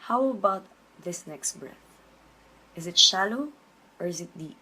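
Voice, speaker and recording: A woman leading a guided meditation, giving measured instructions with pauses between phrases. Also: fairly low pitch, lively intonation, pushed and bright, husky, low-quality recording. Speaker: meditation teacher.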